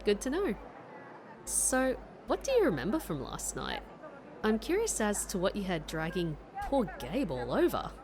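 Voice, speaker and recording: A woman's voice: medium pitch, wildly swooping pitch, normal speed, background noise. An actress playing a perceptive woman in an audio drama, sincere and laid-back with a teasing edge. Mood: brisk, teasing. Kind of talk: acted audio drama dialogue.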